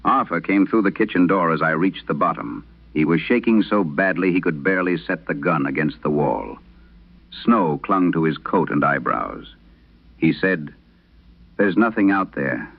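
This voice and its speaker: Low gravelly guy reading scary stories like old time radio, grave, narrow lo-fi sound. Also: no room sound. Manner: grave, deliberate, dramatic phrasing. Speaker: host and narrator of a horror audio drama. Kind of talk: vintage radio horror storytelling, reading a letter.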